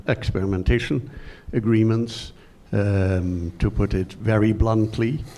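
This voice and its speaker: An older retired man from the Netherlands with a Dutch accent, asking the panel questions from the floor in formal, measured wording. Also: on the low side, creaky, warm tone. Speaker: audience member asking a question.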